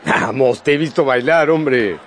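A man acting a cheerful, eager young gentleman in a radio drama, coaxing and animated. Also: medium pitch, a little slow, slight background noise, close to an everyday voice.

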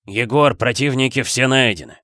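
A man's voice: mid-range voice, normal speed, pushed and bright, no echo, studio quality, close to an everyday voice. A man playing a dutiful warrior sergeant in a fantasy audiobook, giving a deferential military report to his lord.

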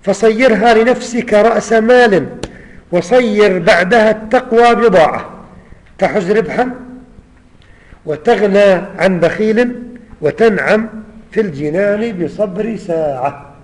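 A man's voice: high-pitched, unhurried, pauses often, big-room sound, low-quality recording. A man teaching a religious lesson. He recites lines of verse, repeats them and explains them in a plain teaching manner. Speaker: Islamic scholar teaching.

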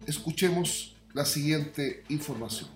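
A Chilean man reads a scripted radio bulletin as its presenter. His voice sits a little high, and it rings with clear room echo over a music bed. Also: unhurried.

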